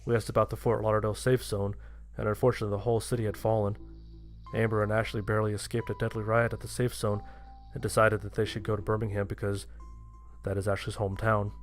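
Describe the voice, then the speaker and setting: A man's voice: lowish voice, flat delivery, fast talker, faint music behind. A man reading a scripted first-person horror story as its narrator.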